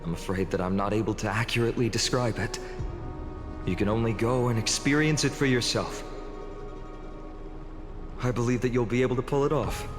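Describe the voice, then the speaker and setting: An encouraging young warrior of a fantasy game, voiced by a man. He sounds sincere and well-spoken at a middle pitch, in long reverb over music. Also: steady tone, brisk, long pauses, close to an everyday voice. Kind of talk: video game voice acting.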